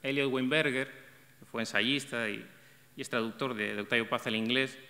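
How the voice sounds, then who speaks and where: A middle-aged man reading a prepared essay aloud at an arts center event, measured and reflective, with small restarts. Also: mid-range voice, steady tone, pushed and bright. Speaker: event coordinator presenting a talk on translation. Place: arts center stage, seated at a table. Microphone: table microphone. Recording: long hall reverb.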